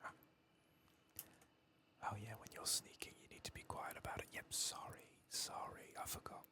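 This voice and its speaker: A man doing a soft-spoken ASMR game playthrough, murmuring and often slipping into a whisper. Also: normal speed. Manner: murmuring, drifting between soft speech and whisper. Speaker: ASMR gaming streamer.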